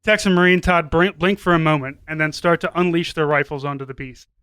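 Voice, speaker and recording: A man's voice: on the high side, steady tone, fairly quick, a bit bright, smooth, no echo. A man narrating a scripted comedy story as a podcast host, now and then stumbling as he reads.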